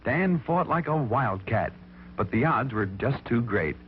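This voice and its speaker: A man's voice: medium pitch, lively intonation, measured pace, very noisy. A man narrating a children's story in a theatrical, emphatic, folksy way, on an old recording with music behind him. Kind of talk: dramatic scripted storytelling for children. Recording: thin, phone-like vintage recording with music behind.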